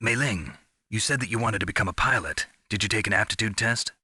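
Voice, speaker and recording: An actor playing a gruff, world-weary covert soldier in a video game, terse and deadpan with a gravelly voice. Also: male voice, fairly low pitch, quick pace, dry recording, studio quality, exaggerated voice. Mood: curious, matter-of-fact. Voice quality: gravelly, husky. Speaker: soldier on a mission. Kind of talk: scripted video game voice acting.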